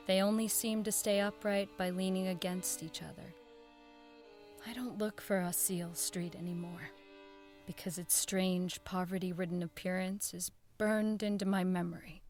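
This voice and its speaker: A woman acts a level-headed, quietly haunted radio station employee in an audio drama. Her voice is natural and mid-pitched, with some music behind it. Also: natural voice. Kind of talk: radio drama acting and first-person narration.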